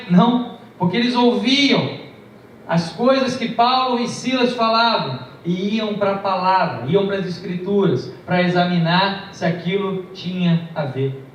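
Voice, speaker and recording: A man's voice: sits high, slight background noise. A man preaching a sermon, telling stories emphatically with a shifting pace. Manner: storytelling, emphatic, varying pace. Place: large church hall. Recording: distant, echoey, muffled church recording.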